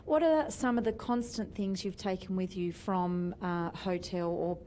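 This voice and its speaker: A woman in the audience asking a question, pausing with fillers. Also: lowish voice, mellow, a little hiss or hum. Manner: hesitant, fillers.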